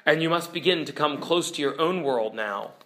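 A great storybook lion, majestic and loving, as read by a man. He speaks softly and solemnly, with gentle authority. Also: fairly high pitch, lively intonation, moderate pace, slight background noise.

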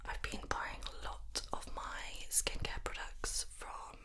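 A woman chatting in a soft ASMR whisper while doing her makeup, slightly nasal from hay fever, with many relaxed "um"s. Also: slow pace. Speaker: beauty YouTuber. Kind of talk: whispered ASMR get-ready-with-me chat.

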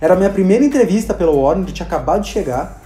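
A man's voice: high voice, expressive, very fast, warm tone, echoey room, a little hiss or hum. A Brazilian man, a video creator and hospital clown, telling stories in a casual chat with many fillers and acted-out quotes. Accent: Brazilian Portuguese. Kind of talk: casual conversational storytelling.

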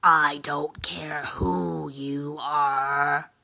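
A woman voicing a big, terrifying, grumpy troll in a storybook tale, with a gravelly, husky growl and loud delivery. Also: fairly even intonation, heavily acted. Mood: menacing, angry. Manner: slow, loud, booming delivery.

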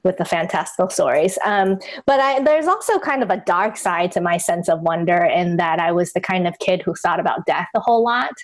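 A middle-aged woman from Taiwan, whose first language is Chinese, speaking as an interview guest in a reflective, searching way with many pauses and restarts. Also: on the low side, animated. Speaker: guest, author and podcaster. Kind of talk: conversational interview.

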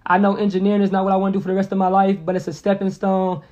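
A man's voice: high-pitched, monotone, rapid-fire, darkish tone, dull audio. A man in his early twenties from Indianapolis, a podcast guest talking casually and earnestly, repeating himself for emphasis and using plenty of slang. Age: 20s. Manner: earnest, motivational, repeats phrases with lots of slang.

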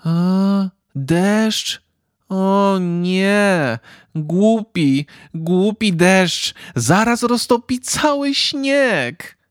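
A man's voice: lively intonation, very slow, no echo, clean studio sound, full character voice. A man voicing an eager, cheerful young boy in a children's story read aloud. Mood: upset. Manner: high, bouncy, exclaiming.

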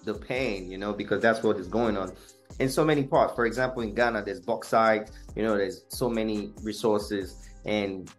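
A young man from Nigeria, a musician guest, explaining things in a measured, earnest way in an interview. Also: on the low side, faint music behind. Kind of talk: interview conversation.

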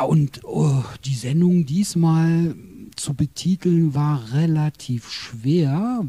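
A man's voice: medium pitch, dark tone, breathy edge. A man hosting a podcast interview in a guest's apartment, chatty and rambling, with jokes along the way. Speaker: podcast host.

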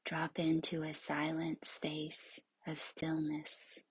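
Soft hushed lady doing yoga nidra, slow and super breathy almost whispery, lowish voice. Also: monotone, no room sound, poor audio. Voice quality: breathy, husky, often near a whisper. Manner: soothing, hushed, with long pauses. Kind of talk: guided meditation.

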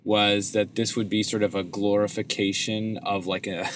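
A man co-hosting a podcast in casual chat, chatty and quick with jokes. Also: fairly low pitch, flat delivery, a little hiss or hum. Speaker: podcast co-host.

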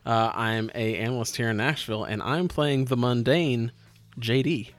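A man's voice: medium pitch, steady tone, normal speed, faint music behind. A man with an American accent chatting casually as a podcast host and player introducing a tabletop game.

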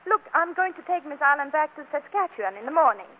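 Spirited, high-voiced wife. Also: female voice, expressive, quick pace. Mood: surprised, hurried. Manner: emphatic, flustered. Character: spirited, exasperated young wife, firm and independent. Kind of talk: 1940s radio comedy acting. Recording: tinny, band-limited old radio recording.

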